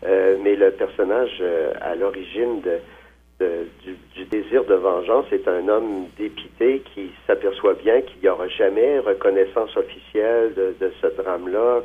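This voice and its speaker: Invité masculin, voix grave et voilée, hésitant, ligne téléphonique grêle. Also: male voice, deep voice, slightly rough. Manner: hesitant, frequent fillers and restarts. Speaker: novelist guest. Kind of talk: phone interview. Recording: tinny phone line.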